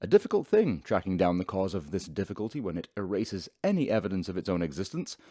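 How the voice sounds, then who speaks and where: An actor playing a self-satisfied, pompous professor in a sci-fi radio drama, speaking in wordy sentences. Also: male voice, low voice, sing-song, quick pace, mellow, no echo, studio quality. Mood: self-important. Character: self-satisfied male professor, a scientist aboard a spaceship.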